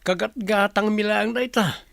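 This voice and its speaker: Breezy, creaky, high-voiced grown son. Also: male voice, steady tone, normal speed, fairly bright tone, no echo, clean studio sound. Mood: defensive. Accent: Ilocano. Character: married grown son, free-spending, breezy and defensive. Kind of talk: radio drama acting.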